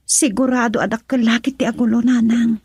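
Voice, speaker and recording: Mellow, fretful Ilocano daughter. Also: female voice, on the high side, steady tone, brisk, vocal fry, husky, dry recording, studio quality, heavily acted. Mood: uneasy. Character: fretful grown daughter questioning her mother. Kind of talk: Ilocano radio drama acting.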